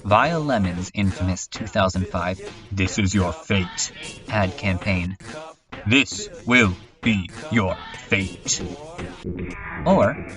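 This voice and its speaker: A man voicing a brash, absurd TV commercial announcer in a declamatory, salesman-like parody voice-over. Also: on the low side. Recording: dull lo-fi recording, distant mic. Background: music.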